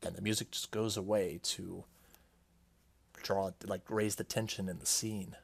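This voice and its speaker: A man's voice: low-pitched, steady tone, measured pace, gravelly, husky. A man hosting a gaming video, reading the game's text aloud and breaking off into casual asides. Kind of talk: playthrough commentary mixed with reading game text aloud. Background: game music behind the voice.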